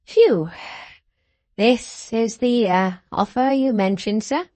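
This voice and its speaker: A fawning, self-satisfied old man who bows and scrapes with every sentence, as a woman puts him on. His voice is dry and close, and it dips low when he adds a deferential word. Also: takes it slowly, warm tone, no room sound. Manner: fawning and deferential, clipped "sir" endings. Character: obsequious, self-important older man with a wooden leg.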